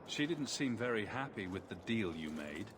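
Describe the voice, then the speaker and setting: An actor playing a calm, level-headed young adventurer in a video game, composed and direct. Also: male voice, lowish voice, moderate pace. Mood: composed, inquiring. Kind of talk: video game cutscene acting. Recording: clear background ambience noise.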